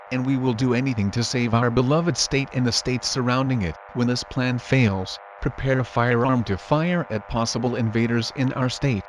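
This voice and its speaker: A man's voice: mid-range voice, natural voice. A man playing a solemn, resolute state governor giving a formal scripted address to citizens. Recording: noisy hum under the voice.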